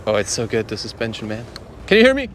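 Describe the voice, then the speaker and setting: A man's voice: on the low side, wildly swooping pitch, fast talker, slight background noise. A man giving a casual, chatty product demonstration as a vlogger.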